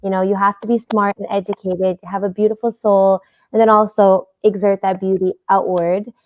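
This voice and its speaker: A woman's voice: medium pitch, flat delivery, moderate pace, narrow, tinny audio. A young woman, a pageant titleholder, answering interview questions in an earnest, casual way with plenty of fillers. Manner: earnest, many fillers, easy laughs.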